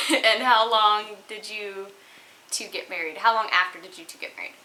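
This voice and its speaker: A woman's voice: medium pitch, moderate pace, bright, forceful tone, slight background noise, poor audio. A woman in her twenties casually answering viewer questions at home, chatty and giggly with lots of ums and likes. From Texas. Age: 20s. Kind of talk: casual chat. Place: home room indoors. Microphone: webcam at desk.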